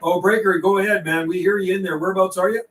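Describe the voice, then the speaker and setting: A man's voice: sits high, steady tone, fast, a bit bright, a little hiss or hum. A man hosting a CB radio livestream, chatting casually in slang and repeating and relaying messages between operators. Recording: roomy, distant microphone.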